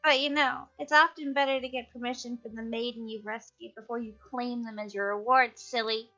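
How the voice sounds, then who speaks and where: Woman voicing a high, coy fox-girl maiden. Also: steady tone, brisk, bright, forceful tone, lo-fi sound, faint music behind. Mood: coy, playful. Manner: coy, theatrical old-fashioned phrasing.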